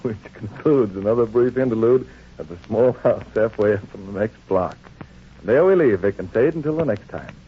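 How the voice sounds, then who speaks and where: Casting: male announcer, vintage radio narration, slightly low, thin noisy recording. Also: animated, moderate pace, narrow, tinny audio.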